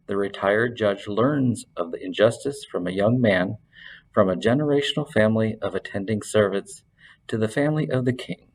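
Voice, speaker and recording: A man's voice: on the low side, breathy edge, rough recording. A man presenting and reading a fantasy story aloud as scripted narration. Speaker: library reader and presenter.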